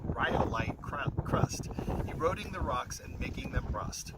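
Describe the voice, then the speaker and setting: A man reading a rhyming children's story aloud in an expressive, singsong way. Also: mid-range voice, measured pace. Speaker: storybook reader. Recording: very noisy, windy, distant-mic recording.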